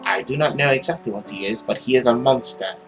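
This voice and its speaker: A man's voice: medium pitch, fast, over music, natural voice, poor audio. A man playing an urgent inner voice that warns and accuses, emphatic, in a visual novel. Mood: urgent warning.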